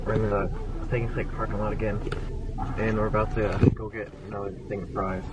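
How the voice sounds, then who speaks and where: A man's voice: fairly low pitch, little pitch movement, fairly quick. A young American man in casual, slangy, joking chat inside a car. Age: young adult. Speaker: prank vlogger. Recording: very noisy in-car camera sound. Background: loud car noise.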